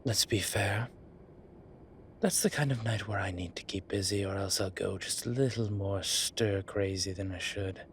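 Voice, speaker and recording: A man playing a polite, flirtatious incubus demon in an intimate roleplay audio drama, with hesitant, formal phrasing and a softness that turns breathy at times. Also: deep voice, normal speed, slight background noise. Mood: polite, playful. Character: courteous, flirtatious male incubus demon, restrained and apologetic.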